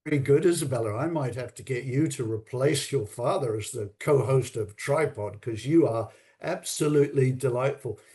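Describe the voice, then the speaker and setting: He is a podcast co-host introducing a guest with a prepared, formal script. His voice is mellow and mid-pitched for a man, and he speaks in an effusive manner, heavy on praise. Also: moderate pace, few pauses.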